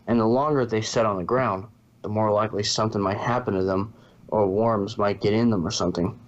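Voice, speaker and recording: A man narrates a piece of fiction, reading it aloud. His voice sits fairly low. The home recording is somewhat dull and muffled. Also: fairly even intonation, fairly quick. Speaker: fan fiction reader. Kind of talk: story narration, read aloud.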